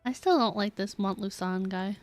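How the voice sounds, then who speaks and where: A woman's voice: mid-range voice, moderate pace, no room sound. A woman livestreaming a video game, chatting casually and reacting as she goes. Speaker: game streamer. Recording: game music behind the voice.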